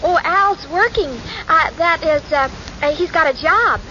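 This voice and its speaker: An actress playing a naive, sweet, scatterbrained young secretary in 1940s radio comedy, with an earnest, hesitant manner. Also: female voice, extremely high pitch, unhurried, pushed and bright, heavily acted. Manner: earnest, dim, hesitant, muddles sayings. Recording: noisy, muffled 1940s radio recording.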